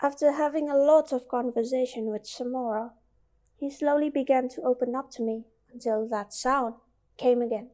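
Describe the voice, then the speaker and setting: A woman's voice: high voice, fairly even intonation, moderate pace, mellow, close to an everyday voice. A woman playing a curious, earnest young student in an amateur radio drama, narrating and acting out her story. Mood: earnest, concerned.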